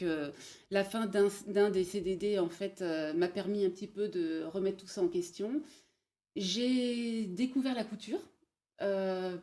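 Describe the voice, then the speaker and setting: Woman, 40s, Parisian-born guest, mellow and unhurried with lots of fillers. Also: lowish voice. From Paris, France. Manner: hesitant, many pauses and fillers. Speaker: guest, bridal showroom manager. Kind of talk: casual interview, telling her career story.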